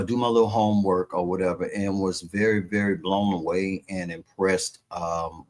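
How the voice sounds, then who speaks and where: A low-pitched man hosting a radio interview, chatting loosely and stumbling over repeated words as he talks. His voice sits on the deep side for a man, with an easygoing, meandering delivery full of fillers. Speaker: radio show host. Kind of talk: casual interview chat. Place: radio station.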